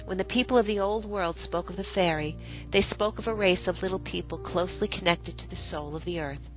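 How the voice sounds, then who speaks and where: A woman reading a first-person story as scripted narration, with deliberate, dramatic phrasing. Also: on the low side, soft background music, low-quality recording. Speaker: storyteller narrating own memoir.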